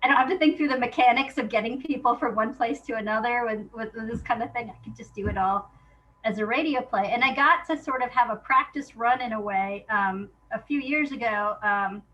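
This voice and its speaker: A woman speaking as an interview guest, a playwright chatting casually about her work and telling it in an animated way, acting out remembered exchanges. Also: a little high, brisk, lo-fi sound.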